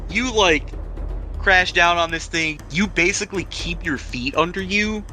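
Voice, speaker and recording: A man's voice: high voice, measured pace, sharp tone, faint music behind. A man running a tabletop role-playing game as game master, talking informally with the odd swear word.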